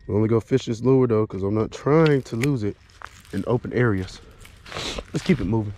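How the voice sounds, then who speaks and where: A man's voice: on the low side, moderate pace, background noise. A man vlogging his fishing trip on a wooded creek bank, stuffy from sinus trouble, casually explaining his lures. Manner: relaxed, explains lure action while casting. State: stuffy nose. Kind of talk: casual outdoor commentary. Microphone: body-mounted action camera. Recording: faint outdoor ambience.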